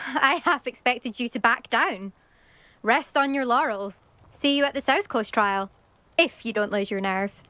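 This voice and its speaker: A woman's voice: on the high side, a little slow, sharp tone, no echo. A young woman with a British accent playing a brash, competitive teenage racing champion in a video game, haughty and grudging with praise. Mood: smug taunting.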